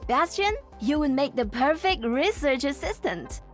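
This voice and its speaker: A woman's voice: high-pitched, big pitch swings, a little slow, faint music behind. A woman playing a cheerful, friendly research scientist in a video game. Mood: delighted.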